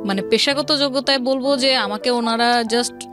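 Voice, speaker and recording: High-voiced Bangladeshi woman, music behind. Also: moderate pace. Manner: earnest, emphatic repetition, mixes in English words. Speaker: interview guest, event planner. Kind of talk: personal storytelling in an interview.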